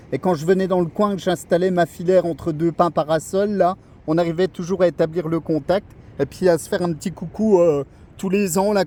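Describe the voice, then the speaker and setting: A man's voice: sits high, dark tone. A middle-aged man from France chatting casually as a radio operator, hesitating and searching for his words.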